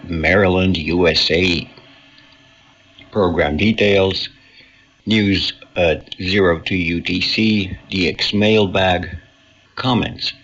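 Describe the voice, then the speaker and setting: A man presenting listener mail as a radio host in measured, deliberate phrases, heard through a shortwave radio capture. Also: sits low, a bit bright, breathy edge, muffled recording.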